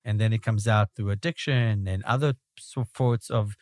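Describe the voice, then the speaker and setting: A man's voice: fairly low pitch, normal speed, darkish tone, dry recording, clean studio sound. Belgian-accented man with frequent "right?". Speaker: rabbi and guest. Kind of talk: reflective conversational interview.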